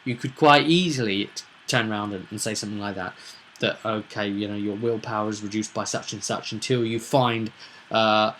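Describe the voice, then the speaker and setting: A man's voice: fairly low pitch, fairly even intonation, moderate pace, fairly bright tone, slight background noise. A man hosting a tabletop roleplay vidcast, talking informally and discursively as a game master, often adding tag questions.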